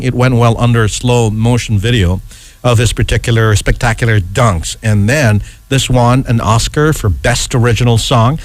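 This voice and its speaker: A middle-aged man hosting a radio chart countdown in a casual, ad-libbed way, rambling and halting, often checking in with an "okay". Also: medium pitch, no room sound. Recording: music playing behind close, dry voice. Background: music.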